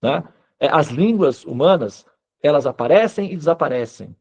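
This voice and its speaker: A man's voice: on the high side, lively intonation, normal speed, darkish tone, slightly rough. A Brazilian man speaking Brazilian Portuguese as a spiritual teacher, explaining in an informal lecture style and often checking in with short tag questions.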